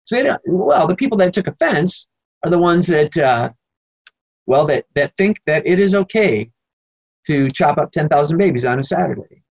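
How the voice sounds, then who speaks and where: A man's voice: fairly high pitch, very animated, normal speed, creaky, darkish tone, highs cut off. An American man, a priest being interviewed, talking emphatically and indignantly with rambling asides. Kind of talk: animated conversational interview answers.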